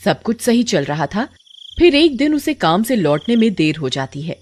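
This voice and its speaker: A woman's voice: mid-range voice, sing-song, normal speed, darkish tone, a little hiss or hum. A woman narrator reading a scripted horror story expressively. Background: music.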